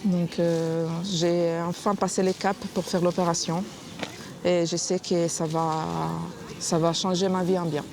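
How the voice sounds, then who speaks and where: A woman in her thirties from Sardinia with an Italian accent, talking haltingly in a documentary interview. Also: lowish voice, little pitch movement, background noise. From Sardinia, Italy. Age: 30s. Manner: hesitant, many restarts and fillers. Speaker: cosmetic surgery patient. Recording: music playing underneath.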